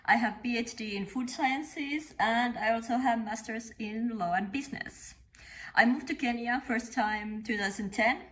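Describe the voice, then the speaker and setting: Bright-voiced Finnish woman, measured and thoughtful. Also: fairly high pitch. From Finland. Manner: measured, thoughtful, with frequent "uh" pauses. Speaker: company founder. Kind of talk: interview monologue.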